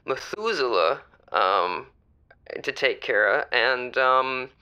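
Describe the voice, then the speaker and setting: A man's voice: medium pitch, animated, takes it slowly, sharp tone, clean tone, heavily acted. A man playing a nervous, polite, folksy radio caller who stammers and hesitates over a dull caller line. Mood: nervous, troubled. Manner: hesitant, stammering, courtly wording. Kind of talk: improvised radio call-in drama.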